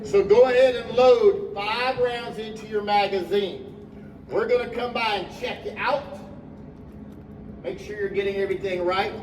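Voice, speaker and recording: A man teaching a firearms class at an indoor range, upbeat and playful as he calls out commands. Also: high voice. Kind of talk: loud instructions to a class. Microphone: amplified voice. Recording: echoey, distant, noisy range.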